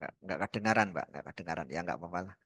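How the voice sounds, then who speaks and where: A man's voice: low-pitched, racing, fairly bright tone, no room sound, clean studio sound. A male lecturer on a video call briefly and politely calling on students in turn. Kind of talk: running class introductions.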